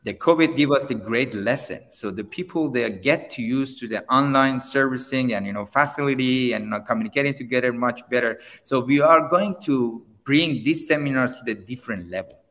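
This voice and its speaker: A man whose first language is Persian, a seminar trainer answering interview questions in halting English with many restarts. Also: medium pitch, big-room sound. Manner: halting, many restarts, non-native grammar, animated when pressed. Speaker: seminar trainer and company founder.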